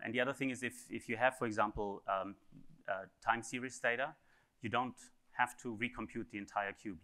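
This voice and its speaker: A man speaker answering audience questions after a technical conference talk, pausing often with fillers and restarts. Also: fairly low pitch, pushed and bright, clear, resonant tone. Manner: hesitant, many fillers and restarts.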